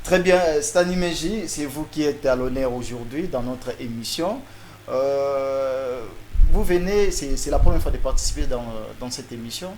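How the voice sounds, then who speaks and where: A man's voice: medium pitch, normal speed, a little mellow, a little hiss or hum. A man with a Congolese French accent hosting a talk show, formal in address but often hesitating and repeating words. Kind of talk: presenting and interviewing on a talk show.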